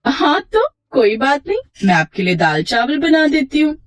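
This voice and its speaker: A woman's voice: sits high, big pitch swings, moderate pace. A woman voicing a cheerful, stubborn young daughter-in-law, sweet but insistent, in a moral story. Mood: obliging. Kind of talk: acted moral story for children.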